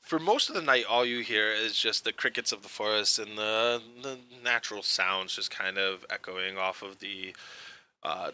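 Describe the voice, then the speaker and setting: A man narrating a tabletop roleplaying game as game master, with pauses and fillers. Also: fairly low pitch, bright, forceful tone, smooth. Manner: hesitant, laughing storyteller.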